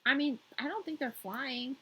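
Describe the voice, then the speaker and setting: Female storyteller: high, lively, slightly husky, tossing in irreverent asides as she reads. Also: normal speed. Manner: playful, irreverent. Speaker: podcast host reading a story aloud. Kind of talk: animated story reading with casual asides.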